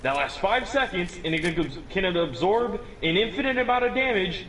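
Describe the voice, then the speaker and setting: A man's voice: sits high, animated, moderate pace, a bit bright, slight background noise, poor audio. A teenage boy explaining a game build in casual chat, rambling with many restarts and little chuckles. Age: teens. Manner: rambling, restarts often, chuckles at own remarks.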